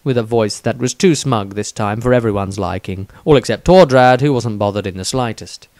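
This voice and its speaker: A man's voice: lowish voice, normal speed, a little mellow, no room sound. Man narrating fantasy, dry close sound. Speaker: author reading his own story. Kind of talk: scripted fantasy audiobook narration.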